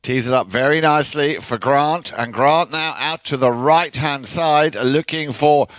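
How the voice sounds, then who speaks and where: A man giving measured play-by-play commentary on a polo match, with frequent fillers. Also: on the high side, a bit bright, no room sound.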